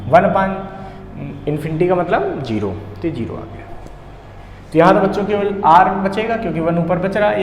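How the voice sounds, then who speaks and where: A man with an Indian accent teaching physics step by step, asking questions and answering them himself, with English technical terms mixed in. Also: a little high. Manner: mixes English technical terms into his talk. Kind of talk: step-by-step problem-solving lesson. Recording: echoey reverberant room, faint background noise.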